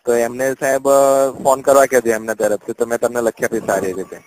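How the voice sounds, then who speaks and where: Fast-talking Gujarati customer care man. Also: mid-range voice, low-quality recording. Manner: patient, placating, deferential. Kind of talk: polite helpline conversation. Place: phone call.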